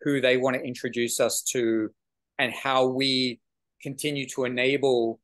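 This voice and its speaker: A man in his forties, the director of an arts venue, answering a question on a video call in a measured, slightly halting way. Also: mid-range voice, flat delivery, fairly bright tone. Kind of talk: panel conversation on a video call. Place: small room with brick wall. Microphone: video call webcam.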